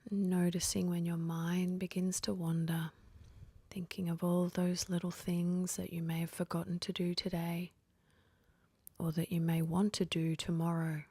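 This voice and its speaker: A woman guides a sleep meditation in a lowish voice that is very breathy and close to a whisper. She speaks very slowly and gently, letting each phrase settle before the next. The sound is dry and intimate, with no room around it. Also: level tone, dry recording. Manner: gentle, unhurried, with long pauses between phrases.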